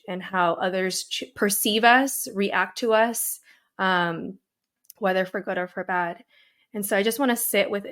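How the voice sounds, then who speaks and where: A woman hosting a podcast interview, speaking earnestly and emphatically, slowing down to stress key words and often checking in with "right?". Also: fairly low pitch. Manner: frequent "right?" and "um". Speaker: podcast host. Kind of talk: heartfelt interview conversation.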